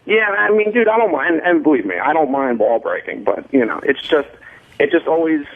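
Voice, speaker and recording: A man on a phone call in casual radio banter, explaining himself in a halting, repetitive, defensive and profane way. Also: a little high, animated. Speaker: radio show staffer calling in sick. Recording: muffled phone-like line.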